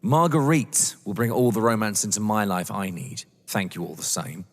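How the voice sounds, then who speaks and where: An actor playing a down-to-earth young lover drawn into a scheme, with a wary, dry, protesting manner, in a stage-thriller audio drama. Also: male voice, fairly low pitch, normal speed, close to an everyday voice. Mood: dry, impatient. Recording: long hall-like reverb.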